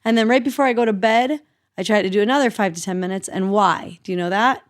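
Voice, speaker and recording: A woman's voice: medium pitch, fast. Chatty self-deprecating woman podcast co-host. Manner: chatty, self-deprecating, many fillers and restarts. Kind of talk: casual podcast conversation.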